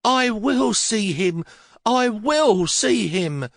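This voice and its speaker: A male narrator puts on a little old mother in a storybook, trembling with petulant rage. Her voice is pitched far above his own and swoops with feeling. The reading is close and dry. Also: animated, very slow, creaky, no room sound, full character voice. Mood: furious. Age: older. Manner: shrill, quavering, childish pleading.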